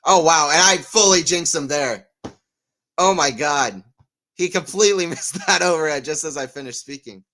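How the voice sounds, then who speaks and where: A man giving live tennis play-by-play on a stream, chatting with viewers and getting excited on big points. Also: fairly high pitch, moderate pace. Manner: excitable on rallies, rambling and self-mocking between points. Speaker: tennis watchalong commentator. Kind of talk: live play-by-play and casual chat.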